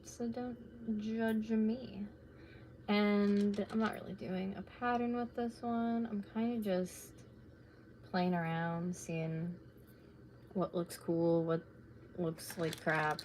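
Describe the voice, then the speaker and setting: A woman in her late twenties, slightly raspy from talking, chatting casually and rambling while she crafts at home on a live stream. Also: medium pitch, takes it slowly, pauses often, slight background noise. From North America. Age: late 20s. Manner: rambling, chatty, laid-back with filler words.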